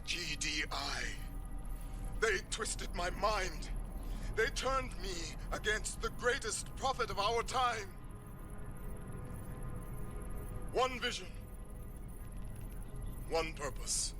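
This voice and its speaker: A fanatical preacher declaims with fervour in a dramatic game cutscene. His voice is high for a man and unhurried, set slightly back from the mic with loud music around it. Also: lively intonation, distant mic. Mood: broken, penitent. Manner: slow, declamatory, fervent preaching. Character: zealous male preacher, fanatical leader of a sect.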